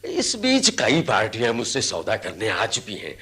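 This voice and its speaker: Wheedling boss, wildly swooping husky voice. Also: male voice, fairly low pitch, wildly swooping pitch, bright, forceful tone, full character voice. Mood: brisk, scheming. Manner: drawn-out coaxing, patronising address with frequent exclamations. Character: greedy, wheedling older male boss of elephant catchers. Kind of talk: Hindi radio drama acting.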